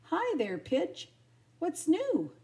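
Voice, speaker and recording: A woman's voice: extremely high pitch, wildly swooping pitch, takes it slowly, warm tone, pitched above the performer's own voice, full character voice. A woman voicing a male stray dog in a storybook, a jaunty and good-hearted rogue. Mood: breezy.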